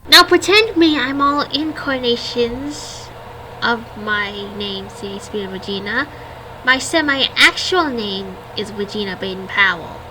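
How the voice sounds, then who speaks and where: A woman in her twenties from Canada, reading a scripted history in a steady, list-like recitation of names and dates. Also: on the high side, unhurried, background noise. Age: 20s. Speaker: vlogger.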